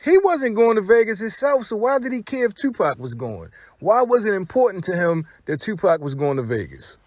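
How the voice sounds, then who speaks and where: A man's voice: high voice, big pitch swings, a little fast, no echo. A man hosting an online show, giving informal, opinionated hip-hop commentary in slangy, profane, emphatic phrases with repetition.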